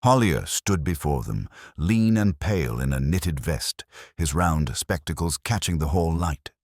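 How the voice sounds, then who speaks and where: A man reading a mystery story in a measured, wry narrating manner. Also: extremely low pitch, expressive, darkish tone, no room sound, clean studio sound.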